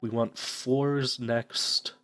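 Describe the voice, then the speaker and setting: A man's voice: mid-range voice, flat delivery, very slow, a bit bright, faint music behind. A young man with a stuffed-up, nasal voice from a cold, casually commenting on a game while thinking aloud with many fillers. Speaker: let's play host.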